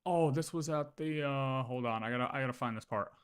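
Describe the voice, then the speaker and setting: A man's voice: mid-range voice, brisk, clean tone. A man livestreaming casual game lore commentary, thinking aloud and often repeating his words as he works through ideas.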